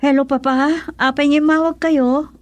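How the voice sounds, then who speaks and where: Anxious, high-voiced wife. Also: female voice, fairly even intonation, measured pace, soft background music. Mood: anxious, pleading. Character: anxious wife mediating between father and husband. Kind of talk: Ilocano radio drama acting.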